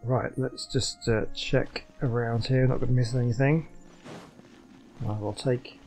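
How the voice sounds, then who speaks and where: Casual male gamer, mid-pitched voice. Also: fairly even intonation, a little slow, darkish tone, faint music behind. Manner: musing, conversational. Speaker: gaming video commentator. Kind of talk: casual playthrough commentary. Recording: faint game ambience behind.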